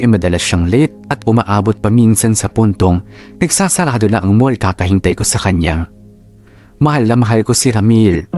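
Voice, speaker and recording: Guy reading heartbroken filipino love story narration, lowish voice, sentimental radio drama, music playing under it. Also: close to an everyday voice.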